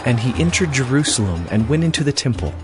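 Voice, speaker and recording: A man narrating a dramatized scripture reading in a steady, even way. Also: medium pitch, fast talker. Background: music.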